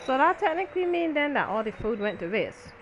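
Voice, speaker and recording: A woman's voice: sits high, sing-song, brisk, poor audio. A woman hosting a gaming channel, reacting to a game with blunt, offhand quips. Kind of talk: casual reaction commentary. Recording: background noise.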